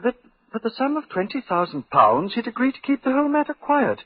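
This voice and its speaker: An actor playing an agitated English lord with a nervous, fretful manner in 1940s radio style. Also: male voice, extremely high pitch, wildly swooping pitch, brisk, breathy edge, no echo, heavily acted. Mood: fretful, pleading. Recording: muffled 1940s radio recording.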